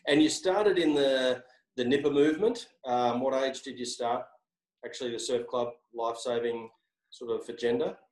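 A man's voice: medium pitch, normal speed, a little mellow. A man interviewing someone, asking long questions with many repeated words and hedges. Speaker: interviewer, company executive. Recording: echoey room.

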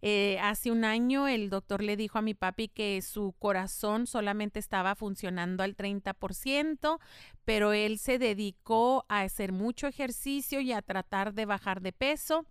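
A woman's voice: medium pitch, normal speed, clear, resonant tone, dry recording, clean studio sound. A middle-aged woman from the United States, hosting a podcast in an earnest, explanatory monologue with a faith-tinged tone. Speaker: podcast host.

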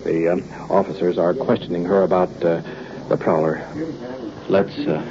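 A man's voice: sits low, little pitch movement, normal speed, a crowd in the background. A man reporting live as he rides along with police, improvising hesitantly in formal wording, in the style of an old radio documentary. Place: on location in police car and houses. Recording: muffled, very noisy old field recording. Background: loud engine and ambient noise.

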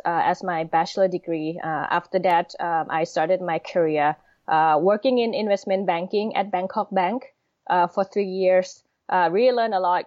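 A woman's voice: fairly low pitch, darkish tone, no room sound. Woman, Thai accent, thirties, podcast guest, hesitant, many fillers, lo-fi, dry. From Thailand. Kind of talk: interview. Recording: dull lo-fi sound.

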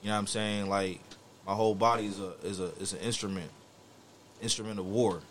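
A man's voice: lowish voice, fairly even intonation, brisk, a little hiss or hum. A man with a US East Coast accent telling a story in casual chat, slangy, profane and boastful.

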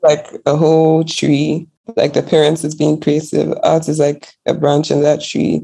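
Young woman, from Ghana, gravelly voice, relaxed guest chatting, laughs easily. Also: low-pitched, a little slow, poor audio. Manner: relaxed, laughs easily, frequent likes and yeahs. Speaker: guest, digital artist. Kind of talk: casual interview chat.

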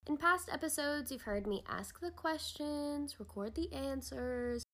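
A woman's voice: high voice, unhurried, fairly bright tone. A woman hosting a podcast, delivering a scripted introduction to the listeners. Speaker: podcast host.

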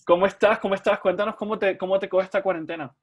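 A man's voice: high-pitched, steady tone, fast talker, muffled recording. A man hosting a music podcast, with an upbeat, welcoming presenter's manner. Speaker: podcast host. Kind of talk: podcast interview.

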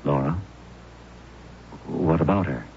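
An actor playing a grieving young widower with a quiet, sorrowful delivery in a 1970s radio drama. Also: male voice, extremely low pitch, slow pace, gravelly, full character voice. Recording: dull old radio broadcast with faint hiss.

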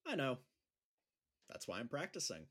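A man's voice: medium pitch, huge pitch swings, normal speed, fairly bright tone, husky, full character voice. A man acting in an audio drama as a lonely, awkward school teacher, hesitant, earnest and self-deprecating. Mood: hopeful, grateful.

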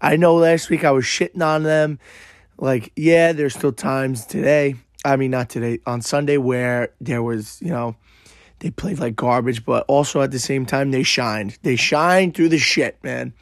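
Brash, hoarse young New man. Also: medium pitch, unhurried, dry recording, clean studio sound. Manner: brash, swearing, animated retelling. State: hoarse, voice worn out. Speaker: podcast host. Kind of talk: casual profane storytelling monologue.

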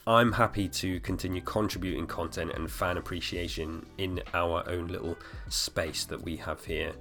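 Male solo podcast host, 30s, very low voice, a bit hoarse, loose rambling delivery, faint music underneath. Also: steady tone, normal speed, bright, forceful tone. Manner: rambling, full of fillers and restarts. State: slightly hoarse. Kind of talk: casual solo podcast talk.

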